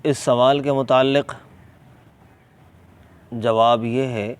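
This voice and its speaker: A man with a Pakistani accent explaining a religious ruling as an Islamic scholar, deliberate and emphatic, repeating key points. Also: steady tone. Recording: clear background noise, ambient vehicle rumble.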